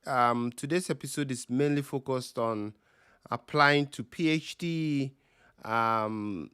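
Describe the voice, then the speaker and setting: Ghanaian guy hosting a live stream q&a over a video call, slow pace, lots of ums. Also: mid-range voice, clear, resonant tone, no echo, studio quality. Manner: slow, relaxed with frequent ums and uhs. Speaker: host.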